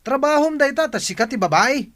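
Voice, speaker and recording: Domineering young husband acted by a man, swooping pitch. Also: lively intonation, brisk, a bit bright. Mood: angry, demanding. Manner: demanding, dismissive. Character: lazy, domineering young husband, quick to snap. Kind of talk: Ilocano audio drama acting.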